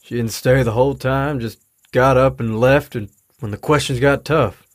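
An actor playing a troubled, frustrated middle-aged father in a naturalistic audio drama, speaking haltingly and downcast. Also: mid-range voice, steady tone, dry recording, studio quality, lightly acted. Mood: dejected, frustrated. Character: weary, troubled, frustrated widowed father of a teenager.

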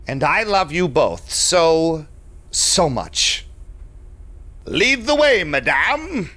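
A man's voice: a little high, sing-song, very slow, sharp tone, no echo, exaggerated voice. An actor playing a shady, smug vampire businessman who narrates his audio drama with sly, mock-polite theatricality. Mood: charming. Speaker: narrating lead character.

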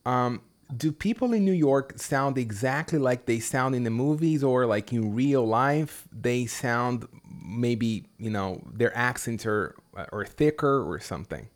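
A man's voice: mid-range voice, expressive, measured pace, hardly any pauses. Brazilian man speaking English, friendly. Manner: friendly and curious, asks questions with many "um"s. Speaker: guest, English teacher. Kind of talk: casual conversation.

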